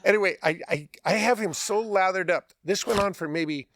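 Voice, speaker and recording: A man's voice: sits high, moderate pace, creaky, a bit bright, slight background noise. A man hosting a podcast, telling a casual anecdote with emphatic repetition and an amused manner. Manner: chuckles while talking, repeats phrases for emphasis. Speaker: podcast host.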